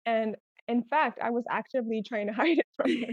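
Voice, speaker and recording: A woman with a fairly high voice and Spanish as her first language chats candidly as a podcast guest. She tells personal stories in a casual way, acting out little quotes as she goes. The sound is a little dull. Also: fairly quick. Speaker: podcast guest, small business owner. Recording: roomy, echoey, slightly dull sound.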